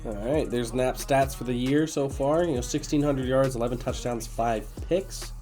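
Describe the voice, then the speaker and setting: A man with a raspy, nasal, congested voice giving casual commentary on a video game as host. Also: mid-range voice, normal speed, mellow, soft background music. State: congested after being sick.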